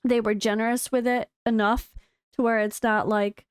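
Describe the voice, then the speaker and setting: American woman gaming creator, high and clear but a touch hoarse today, chatty and excitable, dry close sound. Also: moderate pace, dry recording. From United States. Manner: chatty and excitable, with frequent fillers. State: slightly hoarse. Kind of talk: casual commentary reading developer notes.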